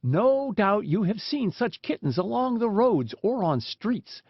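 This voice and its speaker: Male audiobook narrator, animated melodic pitch, deliberate scripted storytelling, dry and slightly dull recording. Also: breathy edge, no room sound. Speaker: audiobook reader.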